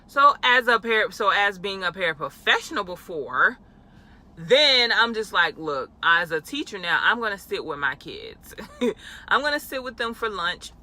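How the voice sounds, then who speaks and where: A woman's voice: mid-range voice, sing-song, unhurried, sharp tone. A young adult woman, a teacher, chatting casually in a vlog with frequent fillers and laughs. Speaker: elementary school teacher. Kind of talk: casual talking-head vlog.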